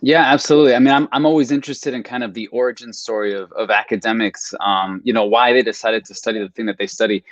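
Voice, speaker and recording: A man in his thirties, a history professor from Milwaukee, talks in an earnest, measured way and often pauses on fillers. His voice sits in a middle pitch. The sound is dry and close, as on a video call. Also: steady tone, no echo, highs cut off. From Milwaukee, Wisconsin, US. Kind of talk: guest on a video call talk.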